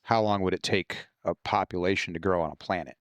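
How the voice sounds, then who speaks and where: A low-pitched American man chats as a podcast guest, an author explaining his work. His delivery is informal and sweary. The recording is dry and close, with little room sound. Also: steady tone, brisk, dry recording. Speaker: guest author discussing own novels. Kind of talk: casual podcast conversation.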